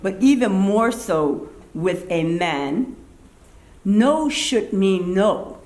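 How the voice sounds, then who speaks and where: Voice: mid-range voice, expressive, very slow, a little mellow. An audience member giving an earnest opinion at a theater talkback, often restarting sentences while searching for words. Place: theater event space, indoors. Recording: echoey room, faint background noise.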